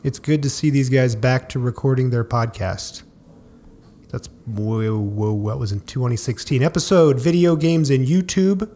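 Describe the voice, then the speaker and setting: A man co-hosting a casual podcast, with a gravelly voice, playful and laughing as he reads things aloud. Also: mid-range voice, measured pace, mellow, slight background noise. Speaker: podcast co-host. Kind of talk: casual chat.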